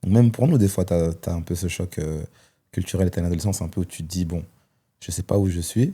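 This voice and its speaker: Guest, man, 30s: low, warm, dark voice; hesitant, thinking aloud. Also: fast talker, breathy edge. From Belgium. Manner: reflective, hesitant with many fillers. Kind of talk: personal testimony in conversation.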